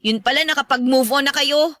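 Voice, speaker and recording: Actress as stubborn filipino teen daughter, high voice, talks fast, emotional radio drama. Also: female voice, a bit bright, no echo, studio quality. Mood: upset, defiant. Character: grieving, stubborn teenage daughter resisting a stepmother.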